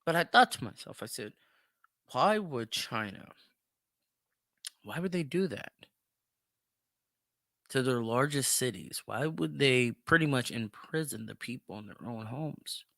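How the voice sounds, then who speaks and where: A man's voice: mid-range voice, lively intonation, unhurried, creaky, long pauses, fairly bright tone, dry recording, studio quality. A man hosting a podcast of opinionated solo commentary, speaking emphatically with plenty of swearing and repeating himself for emphasis. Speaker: podcast host.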